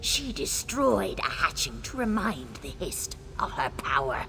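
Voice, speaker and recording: A woman voicing a brooding, vengeful tree spirit in a video game, speaking solemnly and ominously in a gravelly, breathy whisper. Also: deep voice, very animated, bright, forceful tone, slight background noise, exaggerated voice, poor audio. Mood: brooding, menacing. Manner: slow, solemn, ominous phrasing. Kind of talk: video game character acting.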